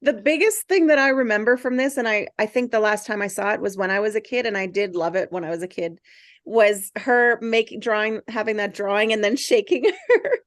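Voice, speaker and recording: A woman's voice: medium pitch, a little fast, dry recording. Woman chatting casually, dry close sound. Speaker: table read host who cast the reading. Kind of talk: casual group discussion.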